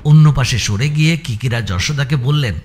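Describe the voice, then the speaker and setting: A man narrating a scripted suspense audio story, with deliberate, descriptive phrasing. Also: mid-range voice, darkish tone.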